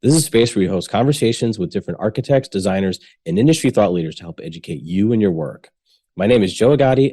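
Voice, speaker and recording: A man's voice: low voice, warm tone, slightly rough, no room sound, studio quality. A man hosting a podcast, reading a prepared introduction and then chatting with friendly, short acknowledgements. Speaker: podcast host. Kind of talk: scripted intro then casual interview.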